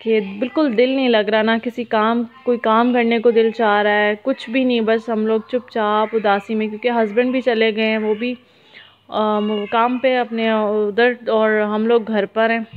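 A woman in her thirties with a Pakistani accent, sounding sad as she gives a casual, halting vlog voice-over sprinkled with English words. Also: a little high, little pitch movement, slight background noise. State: sad, downcast. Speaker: family vlogger. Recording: muffled phone-like narrow-band sound.

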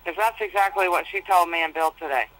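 A woman's voice: on the low side, fast talker, fairly bright tone. A woman speaks over a telephone line with a faint hiss of noise behind her. She stays patient and reassuring, explaining things steadily and soothing her daughter. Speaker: mother of the inmate. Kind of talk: phone call with her jailed daughter.

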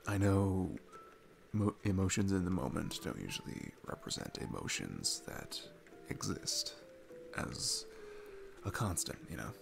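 A man's voice: low-pitched, big pitch swings, measured pace, creaky, music in the background, heavily acted. A man playing a sweet, nervous single dad in an intimate ASMR roleplay, rambling and hesitant in a casual, self-deprecating way. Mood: sheepish reassurance.

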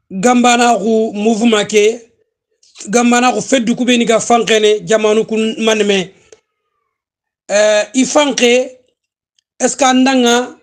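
A man hosting an interview, speaking in a slow, halting way with fillers. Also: high-pitched. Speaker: host. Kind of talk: casual interview talk.

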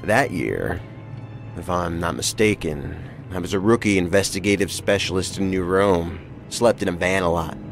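An actor playing a seasoned, thoughtful investigator in an audio drama, measured and terse. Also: male voice, deep voice, soft background music.

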